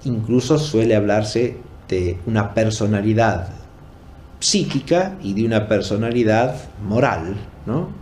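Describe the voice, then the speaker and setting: A man giving an unscripted academic lecture in a formal, didactic and deliberate way, rephrasing as he goes and often checking in with short tag questions. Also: on the low side, darkish tone, slight background noise. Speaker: philosophy lecturer.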